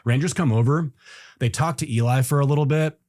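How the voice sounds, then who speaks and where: A man's voice: mid-range voice, fairly quick, darkish tone, no room sound, clean studio sound. A man telling a story as a podcast guest, easygoing and rambling, with lots of fillers and restarts. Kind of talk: casual storytelling in an interview.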